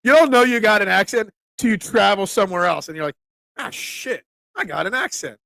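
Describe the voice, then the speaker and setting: Philly guy doing a sports stream, gravelly bright voice, dry close sound, chatty and animated. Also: high voice, a little fast, dry recording. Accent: Philadelphia. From Philadelphia area. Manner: animated, chatty, swears casually, answers viewers by name. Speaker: sports talk show host. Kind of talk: casual live-stream sports talk, reading chat.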